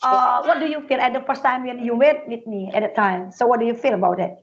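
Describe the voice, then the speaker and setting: A woman's voice: on the high side, quick pace, soft background music. A woman hosts an online English chat. She coaxes her guests to relax, her English simple and friendly. Her voice comes through a muffled call line. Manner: encouraging, with simple, non-native English. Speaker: host of an English conversation group. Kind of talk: casual chat.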